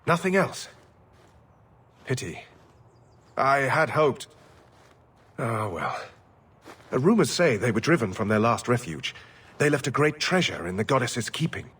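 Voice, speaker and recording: Weary, earnest scholar, bright voice. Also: male voice, medium pitch, sing-song, a little slow, pauses often, husky, far from the mic, full character voice. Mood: weary, resigned. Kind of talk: scripted fantasy game dialogue.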